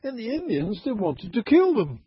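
A man calling into an online voice chat show, telling a story in a halting, rambling way with many fillers and frequent laughs. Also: sits high, wildly swooping pitch, slightly rough, dry recording, lo-fi sound. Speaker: caller.

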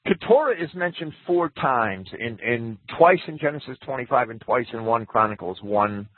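A man's voice: mid-range voice, lively intonation, moderate pace, no room sound. A man guest on a radio talk show, explaining in a hesitant way with many restarts and careful caveats. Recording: phone-like radio audio.

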